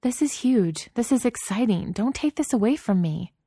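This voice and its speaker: A woman's voice: mid-range voice, moderate pace, darkish tone, dry recording, studio quality, pitched above the performer's own voice, lightly acted. A woman voicing a frustrated young woman artist who speaks in an impassioned, raised voice.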